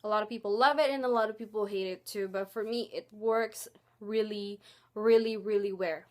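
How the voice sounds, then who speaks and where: A woman's voice: medium pitch, steady tone, normal speed. A young woman casually talking through her hair care routine, chatty and rambling with lots of filler words. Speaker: beauty vlogger.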